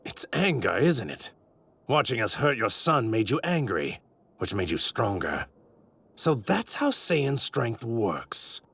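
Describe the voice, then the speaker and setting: Haughty, gravelly anime villain god. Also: male voice, mid-range voice, very animated, slow pace, fairly bright tone, full character voice. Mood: scornful realization. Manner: haughty, theatrical, grandiose, formal diction. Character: arrogant, wrathful male god in a stolen mortal warrior's body. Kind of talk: anime dub villain acting. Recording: clearly noisy audio ripped from anime.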